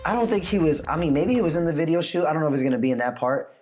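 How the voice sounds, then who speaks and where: Animated New man telling a story. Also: on the high side, very fast, faint music behind. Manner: animated, loose, swears a lot, acts out quotes. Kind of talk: casual storytelling chat.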